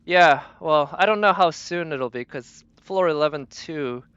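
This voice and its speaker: Slightly muffled close dry mic, male game streamer, smooth clear voice, casual, musing aloud. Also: a little high, unhurried, a bit bright, dry recording. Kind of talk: casual live game commentary.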